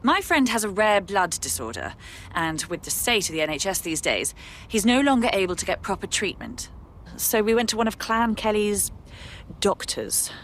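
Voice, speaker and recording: A woman's voice: mid-range voice, big pitch swings, moderate pace, fairly bright tone, a little hiss or hum. An actress playing an anxious woman asking for help with a friend's troubles. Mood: worried. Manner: worried, pleading.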